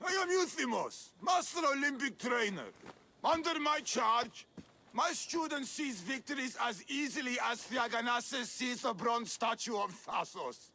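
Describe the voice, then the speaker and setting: A man's voice: very high-pitched, animated, measured pace, sharp tone, exaggerated voice. A man voicing a boastful, haughty, hot-tempered Olympic trainer in a video game, theatrical and scoffing. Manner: pompous, scoffing, declamatory.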